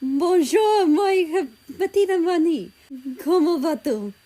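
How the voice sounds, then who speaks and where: Woman as excitable mum in a storybook: high-pitched, cooing, affectionate. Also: takes it slowly, full character voice. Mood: delighted. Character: gushing French mother delighted by a dog.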